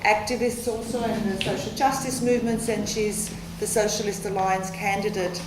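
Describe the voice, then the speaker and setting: A woman's voice: medium pitch, fairly even intonation, normal speed. A woman introducing the next speaker at a forum, in a conversational way with many fillers. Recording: echoey room with clear background noise.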